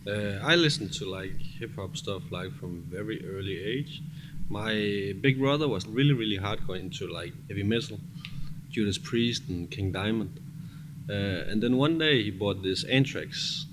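A man's voice: lowish voice, normal speed. A man from Denmark with a Danish accent, talking casually as a music producer being interviewed. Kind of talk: casual chat. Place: cramped studio. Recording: noisy studio background.

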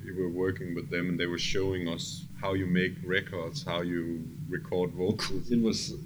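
A man's voice: low voice, flat delivery, moderate pace. A man with a Danish accent, a music producer casually recalling his work in an interview in non-native English. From Denmark. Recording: clearly noisy background ambience.

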